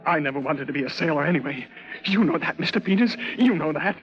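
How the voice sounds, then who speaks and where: Timid high-voiced young sailor, stammering. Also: male voice, lively intonation, quick pace, a bit bright. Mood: bitter, whining. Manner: stammering, pleading, whiny. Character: timid, miserable young sailor, captain's bullied son. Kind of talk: 1940s radio drama acting. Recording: dull, lo-fi 1940s radio recording.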